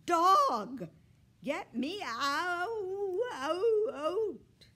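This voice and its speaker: A woman voicing a frantic, demanding female cat in a storybook, yowling loudly and complaining with desperate drama. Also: extremely high pitch, expressive, extremely slow, voice pushed up, full character voice. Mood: panicked, demanding. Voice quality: loud, yowling.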